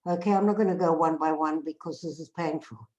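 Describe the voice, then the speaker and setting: A woman's voice: low-pitched, brisk, darkish tone, highs cut off. An older woman with a South African accent, telling stories to a class over a video call in a conversational way. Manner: conversational, repeats phrases while telling anecdotes. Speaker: guest speaker. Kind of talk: storytelling talk to a class.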